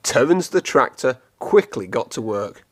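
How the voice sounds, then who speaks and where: A man reading a children's storybook aloud in an expressive, clearly phrased storytelling manner. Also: mid-range voice, unhurried. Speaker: storybook reader.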